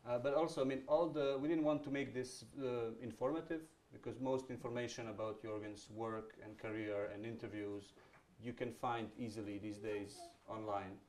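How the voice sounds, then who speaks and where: A man's voice: mid-range voice, fairly even intonation, moderate pace, hardly any pauses, darkish tone. A man moderating an onstage conversation, chatty, with many fillers and false starts.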